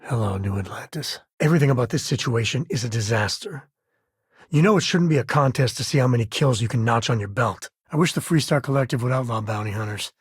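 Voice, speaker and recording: A roguish good-hearted space smuggler dad in a video game, really raspy husky voice, wry casual talk, dry studio sound. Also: male voice, mid-range voice, quick pace, no room sound. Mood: relaxed, matter-of-fact. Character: roguish, good-hearted space-faring ex-ranger and devoted father. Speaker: video game companion character. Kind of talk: video game voice acting, conversational dialogue.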